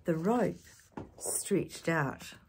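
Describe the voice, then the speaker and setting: An older woman with a husky voice reading a picture book expressively to young listeners, adding chatty asides and animated tag questions. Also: low-pitched, extremely slow, a little hiss or hum. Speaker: children's storytime reader. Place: small indoor space with curtain backdrop.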